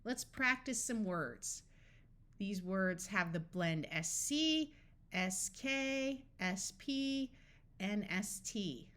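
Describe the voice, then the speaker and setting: A woman narrating a scripted phonics lesson as a reading tutor, deliberately sounding out words piece by piece. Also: mid-range voice, lively intonation, fairly bright tone.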